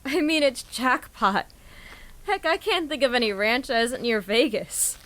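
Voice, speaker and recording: Woman voicing a chatty, anxious searcher, higher voice, faint music behind, audio drama. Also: animated, unhurried, fairly bright tone. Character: nervous woman on a search team.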